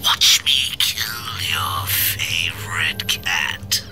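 An actor playing a gleeful, sadistic clown villain in a video game, with a cackling, mocking sing-song voice. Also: male voice, expressive, extremely slow, heavily acted. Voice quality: high, cackling. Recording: distant, loud music behind.

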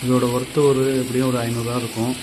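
A man's voice: medium pitch, monotone, quick pace, background noise. A man from Tamil Nadu, a carpenter explaining step by step how to make a tool, casual and repetitive. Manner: plain step-by-step explaining, repeats himself. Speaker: carpenter teaching on his channel.

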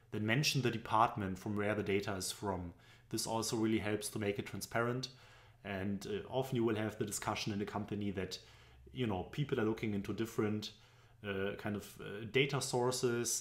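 A young man from Germany with a German accent, explaining business presentation charts in a loose, tutorial style with frequent fillers, recorded on a webcam at his desk. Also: lowish voice. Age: young adult. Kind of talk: screen-recorded tutorial narration. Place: indoor room at home. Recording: echoey room.

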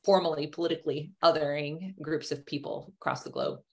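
Female academic panelist, forties, somewhat low voice, explanatory, video-call discussion. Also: very animated, a little fast. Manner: checks in with "right?". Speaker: historian panelist. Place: indoor room, video call. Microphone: video call computer mic.

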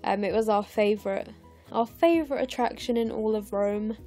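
A young English woman in her twenties, narrating her scrapbooking in a chatty, rambling way with lots of fillers, her voice croaky and tired late at night. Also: medium pitch, normal speed, dark tone, soft background music. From England. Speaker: scrapbooking vlogger. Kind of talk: casual voice-over narration of a craft process. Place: home, recorded late at night.

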